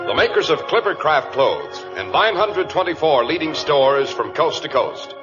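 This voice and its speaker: A man's voice: on the high side, big pitch swings, normal speed. A man working as a radio announcer and host, delivering scripted 1940s-style announcements with polished emphasis. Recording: muffled vintage radio, loud music behind.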